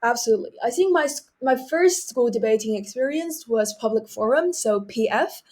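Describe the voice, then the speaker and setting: High-pitched young woman from China, earnest. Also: expressive, normal speed, darkish tone. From mainland China. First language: Chinese. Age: young adult. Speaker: podcast guest, debater. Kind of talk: reflective interview talk with advice.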